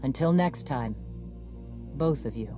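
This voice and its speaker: A woman playing a gruff, commanding warrior clan leader in a video game, talking bluntly and with authority. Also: a bass voice, lively intonation, a little slow. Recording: music behind the voice.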